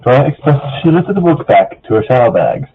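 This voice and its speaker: A man's voice: sing-song, fairly quick. A man casually reading a fan fiction story aloud, stumbling and repeating phrases, over a muffled voice chat. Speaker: story reader. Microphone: online voice call. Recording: muffled low-fidelity voice chat.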